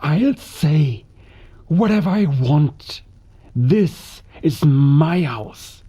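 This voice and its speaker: A husky, bitter husband and father acted by a man. He sounds worn down and resentful, with a harsh temper, in a 1930s-set audio drama. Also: a little high, animated, drawn-out words, warm tone, exaggerated voice. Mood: furious. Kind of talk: cinematic audio drama acting.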